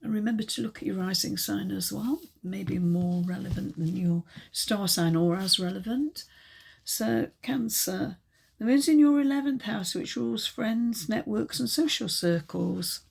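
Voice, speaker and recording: A woman reading tarot cards in a casual, chatty way, hesitant and full of ums, her voice still croaky from a chest infection. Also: medium pitch, a little slow. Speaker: tarot reader.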